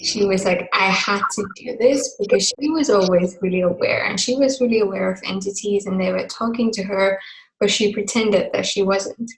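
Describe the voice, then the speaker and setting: A woman's voice: mid-range voice, normal speed. Chatty woman from Finland on a video call. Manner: chatty, many fillers and asides. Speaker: host of a group video call. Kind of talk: casual spoken introduction on a video call. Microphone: computer mic on a video call. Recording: echoey video call audio.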